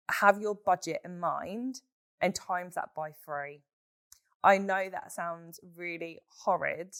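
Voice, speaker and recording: Woman, hoarse voice, lower pitch, frank and chatty, dry close sound. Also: dry recording. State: hoarse from a chest infection. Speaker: podcast host. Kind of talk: solo podcast Q&A, casual chat.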